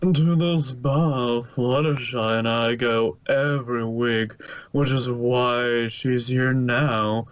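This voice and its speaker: A man doing a slow, smooth, refined lady pony. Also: mid-range voice, fairly even intonation, dry recording, dull audio, natural voice. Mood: pleased, genteel. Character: refined, fussy female pony stylist.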